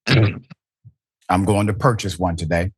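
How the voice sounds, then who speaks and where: A man's voice: deep voice, slow, slightly rough, no echo, clean studio sound. A man hosting a political commentary show, talking emphatically and asking rhetorical questions. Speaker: show host.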